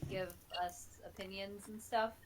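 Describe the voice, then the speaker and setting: A woman chatting casually on a panel, speaking in a halting, stop-and-start way. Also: medium pitch, steady tone, crowd noise. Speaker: audio drama creator on a reaction panel. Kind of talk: casual chat.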